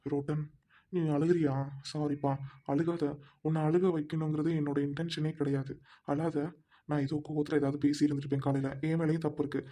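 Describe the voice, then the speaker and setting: A man playing a kind young husband who soothes and apologizes to his wife in a gentle, playful way. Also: fairly high pitch, little pitch movement, a little fast, lightly acted.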